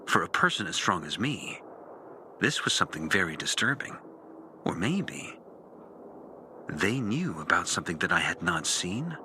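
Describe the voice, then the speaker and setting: A disillusioned ex-priest recording a game audio journal. His low voice is sharp and forceful, swinging widely as he broods through a bitter confession. He speaks deliberately, leaving dramatic pauses. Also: a little hiss or hum, full character voice. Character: disillusioned former priest, bitter and intense, recounting his lost faith. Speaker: character narrating an audio journal. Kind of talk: dramatic video game monologue.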